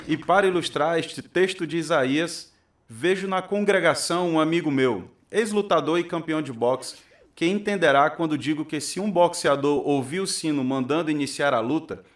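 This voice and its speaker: A man's voice: a little high, normal speed, natural voice. A man acting as an earnest preacher giving a solemn, declamatory sermon in a radio drama. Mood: solemn, urging. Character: earnest male preacher addressing his congregation.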